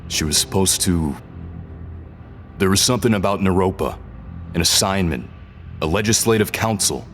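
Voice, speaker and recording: An actor playing a captive rebel captain in a science fiction audio drama, a man who is guarded, halting and uncertain beneath his defiance. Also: low-pitched, lively intonation, faint music behind. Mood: halting, reflective. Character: defiant but confused male rebel captain, held prisoner.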